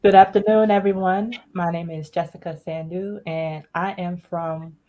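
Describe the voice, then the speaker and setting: Guest author, woman from Washington, deep-voiced, cheerful and eager. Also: fairly even intonation, moderate pace, warm tone. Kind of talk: casual interview.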